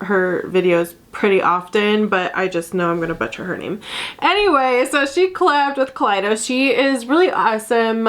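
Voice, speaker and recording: A woman's voice: medium pitch, a bit bright. Stuffy, chatty young woman vlogger. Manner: chatty and bubbly, with fillers and laughs. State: sick and stuffy. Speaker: beauty vlogger. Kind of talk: casual product review.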